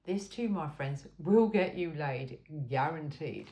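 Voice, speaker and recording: A woman's voice: deep voice, animated, takes it slowly, dark tone, muffled recording, slight background noise. A woman reading an informal self-help book aloud in an emphatic, cheeky and frank way. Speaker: amateur reader of a book aloud.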